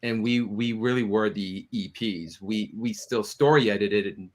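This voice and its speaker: Lowish guy producer chatting on a panel, jovial storyteller type. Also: moderate pace. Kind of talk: casual panel discussion.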